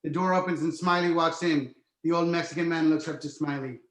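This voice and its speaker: A man's voice: a little high, fairly even intonation, brisk. A man reading scripted screenplay stage directions as narrator, in an echoey room. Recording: roomy, echoey sound.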